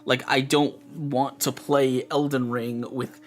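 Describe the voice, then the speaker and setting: A man chatting casually on a live stream, rambling through restarts and fillers, with a voice that is going hoarse. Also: medium pitch, little pitch movement, slow pace. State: losing his voice.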